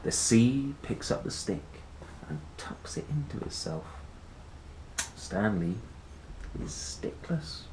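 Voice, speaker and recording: A man reading a children's picture book aloud in a playful, expressive manner, with chatty asides. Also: mid-range voice. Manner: playful, expressive, dramatic pauses and exclamations. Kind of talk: animated storybook read-aloud with chatty asides. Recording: roomy echo, faint background noise.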